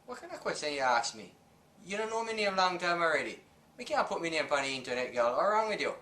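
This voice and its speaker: Brief: Jamaican man, forceful bright voice, blunt and joking, echoey room with faint noise. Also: a little high, sing-song, fast. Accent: Jamaican Patois. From western Jamaica.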